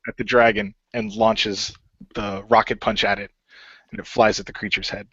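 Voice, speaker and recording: Male player-narrator, lowish voice, improvised fantasy action storytelling, dry muffled home-style recording. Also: flat delivery, normal speed, a bit bright, breathy edge, dry recording. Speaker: player narrating own character.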